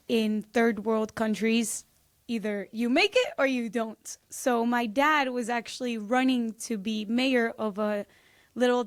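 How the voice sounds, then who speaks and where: A woman's voice: fairly high pitch, no room sound, clean studio sound. A woman in her twenties from Peru, telling her story as a podcast guest in a bubbly, laughing way. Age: 20s. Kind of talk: casual interview chat.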